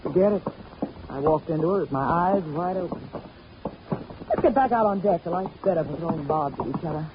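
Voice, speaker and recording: An actress playing a sharp-tongued, cynical wife aboard a yacht, in an old radio drama with a dull, slightly hissy recording. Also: female voice, on the low side, brisk, lightly acted. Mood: sardonic. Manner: sarcastic, tough. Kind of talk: 1940s radio acting.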